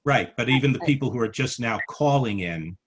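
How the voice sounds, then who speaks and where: A man's voice: mid-range voice, lively intonation, brisk. A middle-aged American man chairing an informal club meeting in a playful, mock-formal way, on a headset over a video call. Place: small room at home. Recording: noisy video-call audio with clicks.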